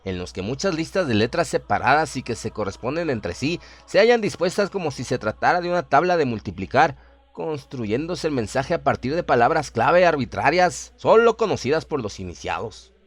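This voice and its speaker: A man narrating a scripted horror radio drama in a measured, literary, dramatic storytelling manner. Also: mid-range voice, sing-song, no echo.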